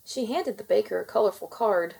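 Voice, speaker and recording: A woman reading a children's storybook aloud in expressive narration for kids. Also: mid-range voice, fairly quick, vocal fry, breathy edge.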